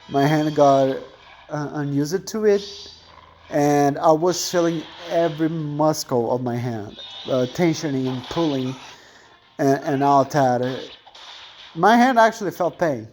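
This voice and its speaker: A man's voice: a little high, a little mellow. A young man with a thin voice chatting casually and rambling while commentating on his gameplay, often correcting himself mid-sentence.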